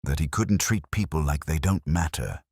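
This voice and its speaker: A man's voice: a bass voice, normal speed, darkish tone, husky, no room sound, clean studio sound, exaggerated voice. A man voicing a weary, nervous, guilty caretaker in an audio drama, hesitant and confessional. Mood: miserable. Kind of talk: audio drama character reading.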